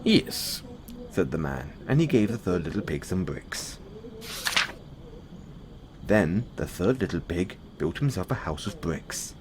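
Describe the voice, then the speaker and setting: A man narrating a bedtime fairy tale as a storyteller, in a simple, measured read-aloud delivery. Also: low voice, animated, background noise.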